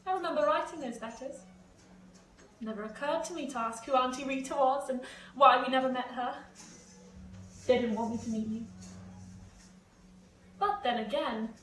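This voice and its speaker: A woman playing a gentle, reassuring young adult daughter in a stage play, speaking in a measured way. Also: sits high, close to an everyday voice. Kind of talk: amateur stage-play acting, naturalistic dialogue. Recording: roomy, slightly noisy, distant home recording.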